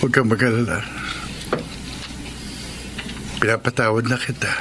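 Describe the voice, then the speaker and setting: An actor playing a grieving, resigned Filipino widower in radio drama style, subdued and halting. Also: male voice, mid-range voice, steady tone, background noise, natural voice. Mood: sad, forgiving. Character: grieving, resigned, forgiving widower who expects death soon.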